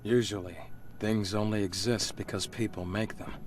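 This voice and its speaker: An actor playing a calm, pragmatic male companion in a video game, understated and matter-of-fact. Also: lowish voice, normal speed, a little hiss or hum, close to an everyday voice. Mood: grave, calm. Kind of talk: video game character acting.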